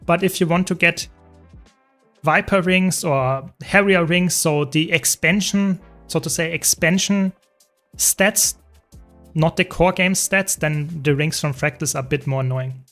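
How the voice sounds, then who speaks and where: A man's voice: a little high, a little slow, soft background music. German-accented man streaming games. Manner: answers chat questions while playing, relaxed and talkative. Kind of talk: casual live-stream chat.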